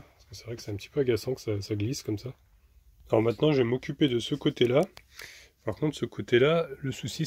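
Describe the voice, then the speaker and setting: A man's voice: medium pitch, darkish tone, breathy edge. A man vlogging casually inside a camper van, explaining a repair step by step with many restarts. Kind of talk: casual vlog narration.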